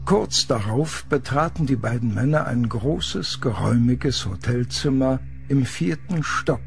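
Gravelly, expressive male story narrator. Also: medium pitch, a little slow, faint music behind. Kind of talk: scripted horror story narration.

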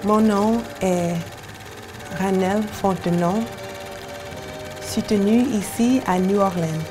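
A woman's voice: medium pitch, slow, over music, close to an everyday voice. An actress playing a young 1940s New Orleans woman who introduces herself formally and carefully in a period film. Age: young adult. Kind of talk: film monologue as 1940s interview.

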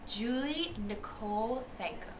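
Young American woman over clear background noise. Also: a little high, lively intonation, a little slow, echoey room. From Connecticut, United States. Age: 20s. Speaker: musical theatre performer, interviewee. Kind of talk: casual chat. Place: small backstage dressing room. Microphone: camera mic at close range. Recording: clearly noisy room sound.

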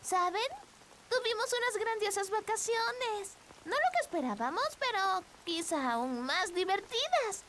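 An actress playing a friendly, honest little girl in a cartoon, sweet and expressive. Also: very high voice, unhurried, heavy background noise. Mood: wistful. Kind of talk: animated cartoon dubbing. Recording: music behind the voice.

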